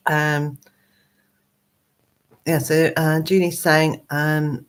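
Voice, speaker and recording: A woman with a hoarse, croaky voice, hosting a casual live chat from her computer at home, rambling with many ums and uhs. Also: low-pitched, level tone, very slow. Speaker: gardening channel host.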